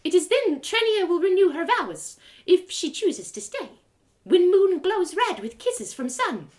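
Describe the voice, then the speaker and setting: A woman's voice: very high voice, big pitch swings, measured pace, voice pushed up, full character voice. A woman voicing an eager, childlike, giggly elf who speaks in quaint clipped phrases with dropped articles in a fantasy story. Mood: earnest.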